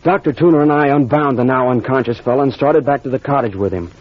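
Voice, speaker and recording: Actor as earnest young adventurer, vintage radio. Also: male voice, medium pitch, fast talker, natural voice. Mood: urgent, grave. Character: young male adventurer earnestly recounting a grim discovery. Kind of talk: old-time radio serial acting. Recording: dull vintage radio recording.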